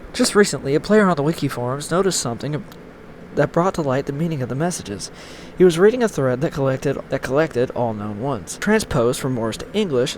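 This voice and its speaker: A man's voice: medium pitch, slight background noise. A man reading a scripted online horror story as its narrator.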